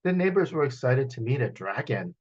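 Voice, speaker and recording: A man reading a children's picture book aloud as a storyteller, in an expressive manner, over a video call. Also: mid-range voice, fairly quick, highs cut off. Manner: expressive, acts out sound effects.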